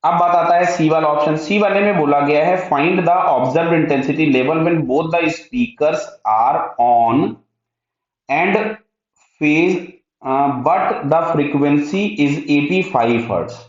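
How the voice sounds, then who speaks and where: A man's voice: fairly high pitch, extremely slow. A man with an Indian accent teaching physics step by step, asking short check questions and answering them himself. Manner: mixes Hindi with English technical terms. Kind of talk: step-by-step lesson, working through a problem aloud. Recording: very echoey room, dull muffled sound.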